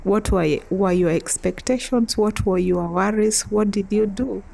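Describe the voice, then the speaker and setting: A young woman from East Africa telling a chatty storytime vlog, often breaking into soft laughs. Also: mid-range voice, measured pace, vocal fry. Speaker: vlogger.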